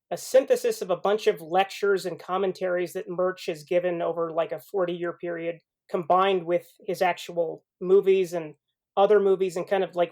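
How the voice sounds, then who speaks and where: Man chatting haltingly on a podcast. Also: sits high, darkish tone. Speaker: podcast panelist. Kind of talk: casual chat, film recommendations.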